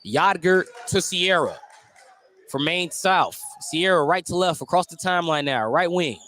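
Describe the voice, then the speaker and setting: Male play-by-play radio announcer, basketball. Clearly high voice, detailed calls that lift on big plays, faint gym noise behind. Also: unhurried. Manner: excited on plays, detailed descriptive calling. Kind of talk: live high school basketball radio commentary.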